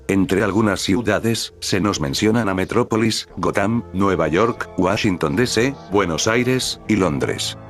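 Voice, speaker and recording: A man narrating a scripted horror recap over dark music. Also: low voice. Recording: background music under the narration.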